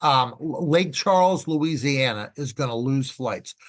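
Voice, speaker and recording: A man's voice: medium pitch, expressive, a little slow. Guy, podcast expert, explains stuff emphatically, repeats himself, asks rhetorical questions. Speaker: podcast guest, air traffic expert. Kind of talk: conversational explaining.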